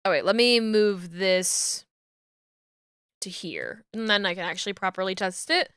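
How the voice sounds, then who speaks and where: A woman on a live stream thinking aloud as she explains her rigging steps to chat, her voice going hoarse. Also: medium pitch, measured pace, fairly bright tone, no echo, studio quality. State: losing her voice. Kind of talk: casual live-stream talk while working.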